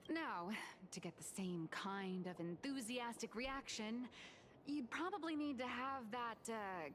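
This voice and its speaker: A woman's voice: on the high side, a bit bright, a little hiss or hum. A woman voicing a scheming, playful comic artist with a theatrical, wheedling manner in a video game. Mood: chatty. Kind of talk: game voice acting. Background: game music.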